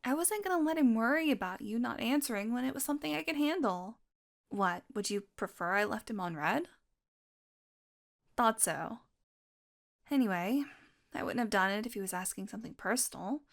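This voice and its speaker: A woman's voice: a little high, expressive, normal speed, vocal fry, pauses often. A woman acting a playful, sardonic, curious young vampire in an intimate audio roleplay. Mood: exasperated, defensive, then earnest, casual. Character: teasing, curious young female vampire doing experiments.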